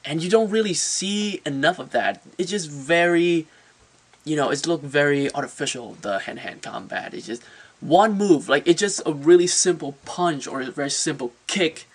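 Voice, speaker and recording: A man's voice: on the high side, animated, moderate pace, breathy edge. A man giving a casual, opinionated movie review as a channel host, talking informally and often repeating words as he searches for phrasing.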